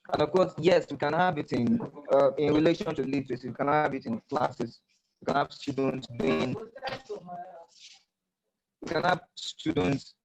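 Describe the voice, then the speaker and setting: A man with a Nigerian accent speaking as a poet on a panel, explaining at a measured pace with many fillers and restarts. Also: medium pitch, gravelly, darkish tone, poor audio. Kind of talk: panel discussion talk. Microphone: video call.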